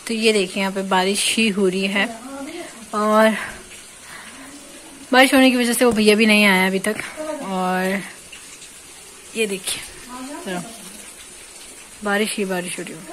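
A woman's voice: mid-range voice, steady tone, normal speed, long pauses, a bit bright, slight background noise. A young woman vlogging casually outdoors on a phone, chatting to the camera about what she is doing. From India. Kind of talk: casual vlog chat. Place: outdoors at home and in a field.

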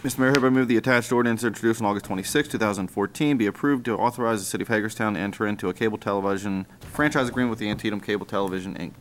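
A man's voice: lowish voice, very fast, few pauses, a little hiss or hum, poor audio. A man on a city council reading out formal motions into a desk microphone. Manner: rattles off formal motion text. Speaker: council member. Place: council chamber.

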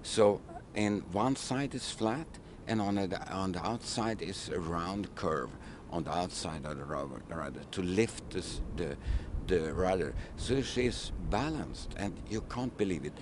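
Very deep-voiced older man. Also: expressive, background noise. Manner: halting, searching for words, broken English. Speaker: ship captain. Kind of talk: outdoor interview. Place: outdoors on a wooden ship at a city waterfront. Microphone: handheld mic held by interviewer.

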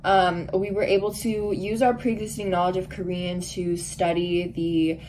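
A woman's voice: fairly low pitch, level tone, unhurried, background noise. Earnest teenage girl giving a testimonial. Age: teens. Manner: friendly, earnest, some filler ums. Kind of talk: prepared testimonial. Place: bedroom at home, indoors.

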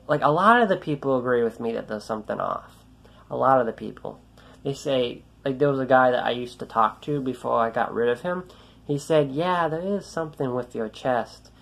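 Young guy ranting at his phone, light teen-sounding voice, swears a lot, keeps restarting. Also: medium pitch. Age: young adult. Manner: frustrated, rambling, swearing, many restarts. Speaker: vlogger. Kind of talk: ranting selfie vlog. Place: small room indoors, then outdoors on a road. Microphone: phone held at arm's length.

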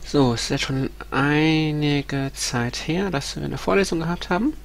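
A man's voice: a little high, moderate pace, vocal fry. A man in his thirties with a stuffy nose from a cold, giving a recorded university lecture over slides, hesitant with many ums and restarts. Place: small room at home.

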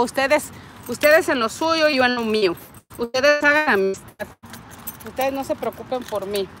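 A chatty, affectionate Mexican woman in her forties greets her livestream viewers one by one. Her voice sits a little high, and she talks into an earbud mic while walking outdoors. Faint traffic hums behind her. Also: fairly bright tone. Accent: Mexican Spanish. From Nayarit, Mexico. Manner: chatty and affectionate, reading out comments. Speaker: vlogger hosting a livestream. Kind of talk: casual live chat, greeting viewers. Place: outdoors in a parking lot and a street. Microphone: wired earbud mic, phone at arm's length.

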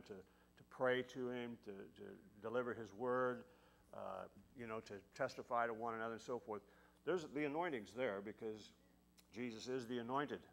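A middle-aged man leading a casual church service from the pulpit, folksy and peppered with hallelujahs and praise the Lords. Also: medium pitch. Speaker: pastor. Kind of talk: casual sermon and prayer time. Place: large church sanctuary. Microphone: podium mic.

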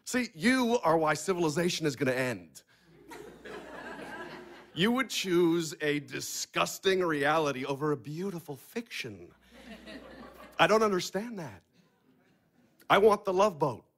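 Audio drama: blunt, sardonic American man, argumentative, lively slightly high pitch. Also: unhurried, long pauses, exaggerated voice. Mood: scornful. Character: Max, sardonic, blunt, cynical man, close family friend. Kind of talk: naturalistic audio play acting.

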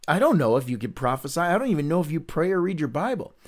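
Guy hosting a bible study stream, kinda high voice, earnest and candid, rambles a bit. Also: big pitch swings, fast talker. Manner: earnest, candid, conversational teaching; rambling with frequent restarts. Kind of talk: livestreamed Bible reading and discussion.

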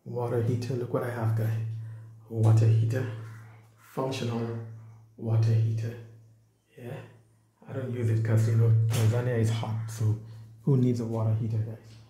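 A man's voice: mid-range voice, flat delivery, moderate pace, long pauses, mellow, a little hiss or hum, poor audio. A man giving a casual walking tour of his house on a handheld phone, chatting to viewers and calling them guys throughout. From Caribbean. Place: house and yard, indoors and out. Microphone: handheld phone camera, filming while walking.

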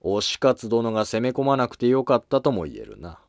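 A man playing a driven, matter-of-fact court scholar in a historical drama, speaking in measured lines. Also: medium pitch, huge pitch swings, no room sound, studio quality. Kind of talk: scripted historical drama dialogue.

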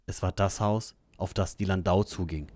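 A man's voice: low voice, fairly even intonation, normal speed, a little mellow, no room sound. A man reading scripted narration for an audio drama as its narrator. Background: music.

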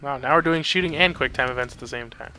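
A man's voice: mid-range voice, fast talker, bright, forceful tone, slight background noise. A man giving casual, sarcastic video game commentary, grumbling and swearing freely. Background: game sound effects and ambience.

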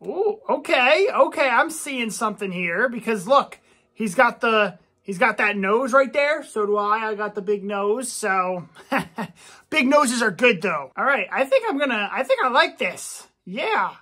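A man's voice: extremely high pitch, very animated, unhurried, pushed and bright, husky, full character voice. A man playing a boastful, touchy young gamer who talks cocky and gets defensive when teased. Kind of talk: comedy gameplay sketch.

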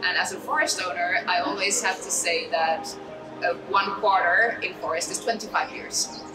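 A woman's voice: fairly low pitch, fairly even intonation, normal speed, distant mic, music in the background. Emphatic Finnish woman in an echoey hall. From Finland. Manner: firm, emphatic. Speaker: chair of a young farmers' group. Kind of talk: interview answers.

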